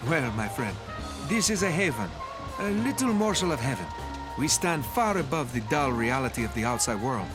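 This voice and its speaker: A man's voice: mid-range voice, very animated, normal speed, over music, lightly acted, rough recording. Theatrical, swooping enigmatic man played by an actor. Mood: grandly musing. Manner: florid, theatrical phrasing. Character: enigmatic, theatrical male host of a dream haven. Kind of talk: video game cutscene acting.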